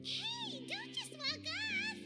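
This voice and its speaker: Casting: bubbly little-girl performer, game cartoon style, extremely high, swooping voice, loud music behind. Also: animated, slow, heavily acted. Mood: indignant. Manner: chirpy, enthusiastic. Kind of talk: video game voice acting. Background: loud background music.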